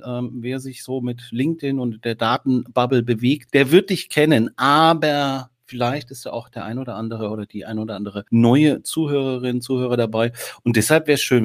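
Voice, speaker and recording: A man hosting a podcast in casual chat, talking loosely with many filler sounds. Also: mid-range voice. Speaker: podcast host.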